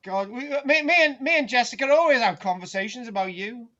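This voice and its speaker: A woman's voice: fairly high pitch, lively intonation, a little fast, bright, forceful tone, highs cut off. A woman hosting a casual group chat. She teases the others and often restarts her sentences. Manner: stammering restarts, teasing, chatty.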